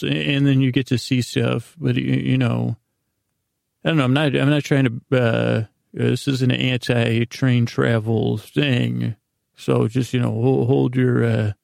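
An American man with a gravelly, creaky voice hosting a bedtime podcast, rambling in a halting, meandering way with drawn-out pauses. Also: mid-range voice, darkish tone, dry recording, studio quality. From United States. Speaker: podcast host.

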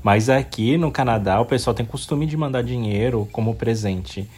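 A man in his thirties with a Brazilian accent, co-hosting a podcast with animated talk and frequent laughs. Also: mid-range voice, moderate pace, breathy edge. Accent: Brazilian Portuguese. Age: 30s. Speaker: podcast co-host. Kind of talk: casual podcast chat.

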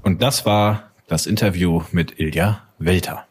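A man's voice: sits low, steady tone, measured pace, noisy background. A man co-hosting a podcast, talking in a casual chat style. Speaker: podcast co-host.

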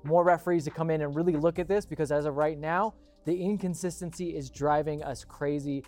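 Male sports talk host, slightly high voice, emphatic and opinionated, faint music underneath. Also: fairly even intonation, a little fast, darkish tone. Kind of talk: casual sports show commentary.

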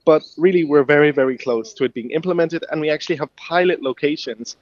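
An eager young man from Ireland, a touch higher-voiced than most, chats easily in a radio interview. His voice comes through a dull, muffled line. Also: fast, no room sound. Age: young adult. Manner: eager, friendly, laughs easily. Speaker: guest inventor.

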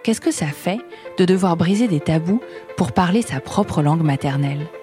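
A woman with a fairly low voice hosting a podcast, delivering a scripted introduction in a measured, composed way. Faint music plays quietly underneath her. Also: expressive. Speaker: podcast host. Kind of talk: scripted podcast narration and interviewing.

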